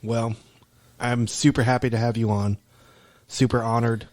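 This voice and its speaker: A young man co-hosting a podcast, joking and teasing his guests in a loose, casual way. His voice sits at a middling pitch, and the recording is dry and close. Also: little pitch movement, moderate pace, a little mellow, dry recording. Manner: teasing, jokey. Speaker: podcast co-host.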